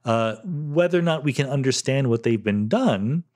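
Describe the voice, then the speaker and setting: A man's voice: medium pitch, animated, moderate pace, a little mellow. A middle-aged man hosting a solo podcast, reading listener questions and answering them in a thoughtful, conversational way with fillers and restarts.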